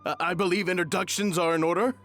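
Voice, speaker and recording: An actor playing a courteous, slightly hesitant knight in a video game, speaking politely and haltingly. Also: male voice, high voice, fairly even intonation, a bit bright, faint music behind.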